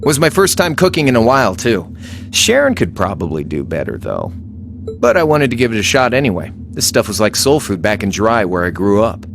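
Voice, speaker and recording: A man's voice: on the low side, big pitch swings, brisk, gravelly, slight background noise. An actor playing a cocky, laid-back young male rebel in a video game, teasing and flippant in a casual way. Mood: easygoing. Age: young adult.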